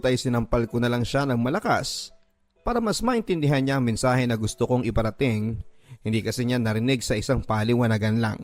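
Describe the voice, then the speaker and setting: A man's voice: medium pitch, no room sound. A man narrating a first-person letter as a dramatic radio storyteller. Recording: music bed behind voice. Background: background music.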